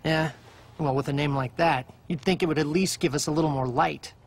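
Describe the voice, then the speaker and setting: A man's voice: fairly high pitch, fairly even intonation, a little fast. A man playing a sullen, easily embarrassed young monster hunter in a dubbed video game cutscene. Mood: wry, brooding.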